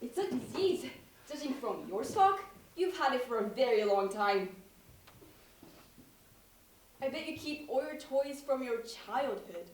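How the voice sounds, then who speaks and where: A woman's voice: sits high, lively intonation, normal speed. A woman acting an imperious, expensive professional organizer in a one-act stage comedy, speaking in a curt, commanding, precise way. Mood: disdainful. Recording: echoey stage from far off, noisy.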